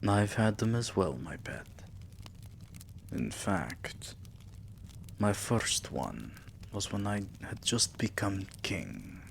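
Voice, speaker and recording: A male actor voices a vampire king in an intimate ASMR roleplay, his voice very deep and thick with creak. He speaks very slowly in soft murmurs that slip toward whispers, possessive and tender with a menacing edge. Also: sing-song, a little hiss or hum, heavily acted. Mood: possessive, fond. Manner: soft, slow, breathy murmurs dipping into whispers.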